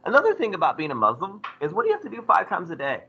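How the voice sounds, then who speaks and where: A man teaching a history class, lecturing in a lively way and putting questions to his students. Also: high-pitched, racing, vocal fry, breathy edge, highs cut off. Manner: animated, asks the class questions.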